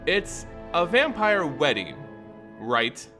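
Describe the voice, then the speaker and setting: A man's voice: on the high side, sing-song, takes it slowly, sharp tone, faint music behind, full character voice. A man voicing a curious, playful young woman adventurer in a fantasy story, casual and inquisitive.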